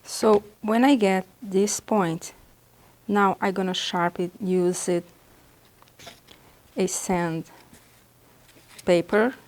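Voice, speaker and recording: A woman from Brazil whose first language is Portuguese, speaking with a Brazilian Portuguese accent as she patiently demonstrates drawing supplies in a beginner art lesson, pausing often as she explains. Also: mid-range voice, steady tone, darkish tone, slight background noise. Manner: patient, halting explanations with pauses. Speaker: art instructor.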